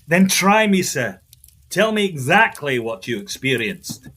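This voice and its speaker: A skeptical period doctor in an audio drama. His voice is high for a man and rough-edged with huskiness. He argues formally and emphatically. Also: very animated, measured pace, pushed and bright, exaggerated voice. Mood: restrained. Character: incredulous, rational doctor arguing with his patient.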